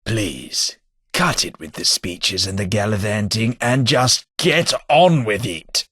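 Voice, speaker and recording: A husky, world-weary male ghoul with a British accent trades in dry, sarcastic upper-class wit, as a man voices him for a video game. His delivery is slow and unhurried, with a low but forceful, bright edge to the voice. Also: expressive, dry recording, full character voice. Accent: British English. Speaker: game companion.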